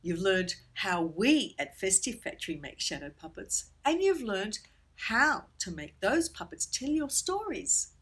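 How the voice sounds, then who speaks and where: A woman presenting an instructional workshop for children in a theatrical, expressive storyteller's way. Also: medium pitch, unhurried, husky.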